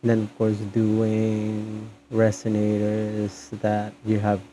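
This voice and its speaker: A man's voice: on the low side, completely flat delivery, slow, warm tone, slight background noise, low-quality recording. A man giving casual commentary as he streams a video game.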